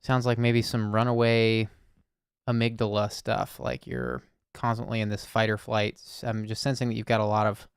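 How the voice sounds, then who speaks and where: A man hosting an anonymous call-in circle, speaking softly and searchingly with many pauses and fillers. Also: lowish voice, little pitch movement, gravelly, clear, resonant tone, dry recording, studio quality. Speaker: host. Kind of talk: listening call-in conversation.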